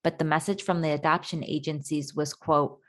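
A woman's voice: low-pitched, flat delivery, a little fast. A woman reading scripted documentary narration about other people's personal stories.

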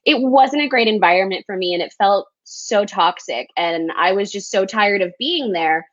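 A woman's voice: medium pitch, moderate pace, no echo. A woman from Texas, a recruiter, telling her own story candidly and reflectively as a podcast guest. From El Paso, Texas. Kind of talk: casual interview storytelling. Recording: dry, slightly dull sound.

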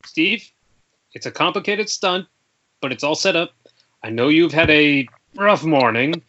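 A man's voice: a little high, animated, unhurried, sharp tone, exaggerated voice. A man playing an exasperated, scolding film crew member in a screenplay table read over a dull internet call. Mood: coaxing.

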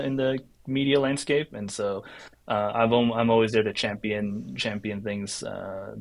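A man from South Korea, a games writer guesting on a podcast, talking casually with many restarts and fillers. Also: lowish voice.

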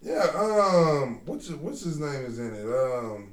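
A man co-hosting a podcast, chatting casually in an excitable, profane way with many stammered repeats. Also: medium pitch, slow pace, echoey room. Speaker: podcast co-host. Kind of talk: casual chat.

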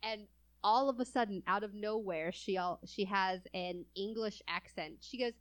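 A woman's voice: medium pitch, normal speed. A young adult woman from California chatting casually as a co-host, laughing often between phrases. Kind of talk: casual chat.

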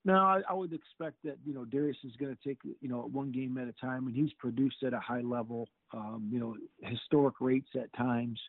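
A middle-aged football coach answers questions over a tinny, phone-like line that sounds completely dry. He talks in halting bursts and keeps repeating words, but stays upbeat. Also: mid-range voice, no room sound. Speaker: football defensive coordinator. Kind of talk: interview answers.

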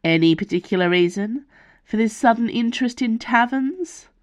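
A woman's voice: fairly high pitch, animated, normal speed, no echo, pitched above the performer's own voice, lightly acted. A woman voicing a cool, commanding female leader who asks the questions, dry and authoritative.

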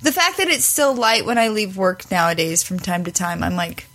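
A woman's voice: medium pitch, sing-song, normal speed, fairly bright tone. A woman hosting a podcast, chatting casually with a guest and laughing often. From United States. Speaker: podcast host. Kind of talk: casual chat.

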